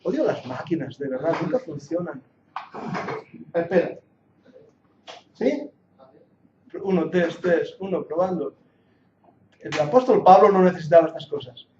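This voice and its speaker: A high-pitched middle-aged man with a Catalan accent shaded by English teaches a Bible class to a church group. He jokes with the listeners in a lively, preacher-like way. The recording is echoey and muffled, with a faint hum of ambience behind him. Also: measured pace, pauses often. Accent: Catalan and English mix in Spanish. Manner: lively, joking, preacher-like delivery.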